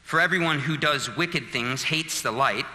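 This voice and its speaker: A man's voice: mid-range voice, normal speed, sharp tone, in a large hall, poor audio. A man in his thirties preaching a sermon, telling a personal story and building to fervent, emphatic appeals. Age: 30s. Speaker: pastor.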